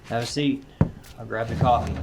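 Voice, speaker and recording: A male actor plays a weary country widower in an audio drama. He talks in a folksy, plainspoken way, in a mid-pitched male voice. He sounds like a homeowner worn down by a haunting. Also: steady tone, moderate pace, vocal fry, very noisy, close to an everyday voice. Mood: hospitable. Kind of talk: audio drama character dialogue.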